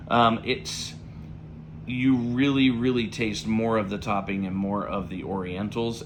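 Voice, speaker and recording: A man with a slightly raspy voice, still getting over an illness, talking through a pipe tobacco review in a relaxed, rambling way with lots of fillers. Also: lowish voice, background noise. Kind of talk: casual spoken review.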